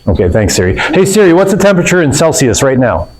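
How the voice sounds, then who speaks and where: A man's voice: on the high side, big pitch swings, quick pace. A man teaching a physics class in a classroom, explaining in a casual way with fillers and self-corrections. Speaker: physics instructor. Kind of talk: classroom lecture.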